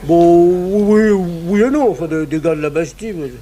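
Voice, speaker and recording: A man's voice: sits high, moderate pace, dark tone, close to an everyday voice. An actor playing an obliging, slightly evasive male café owner, talking in a colloquial, hesitant ramble in a French radio drama.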